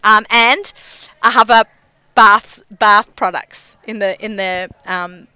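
A woman's voice: mid-range voice, fairly even intonation, slow pace, bright, forceful tone, smooth, dry recording. A woman with an Australian accent interviewing someone in a hotel, chatty and gushing with lots of restarts. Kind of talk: enthusiastic interview chat.

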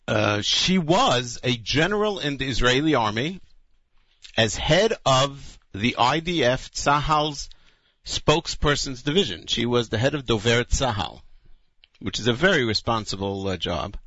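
A man's voice: medium pitch, a bit bright, no echo. A man hosting a radio talk show, chatting loosely with fillers and small laughs into a studio mic. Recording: slightly dull, dry studio sound.

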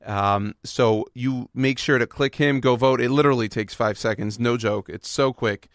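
A man's voice: medium pitch, normal speed, no echo, clean studio sound. A man hosting a radio show, talking informally to his listeners. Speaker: show host.

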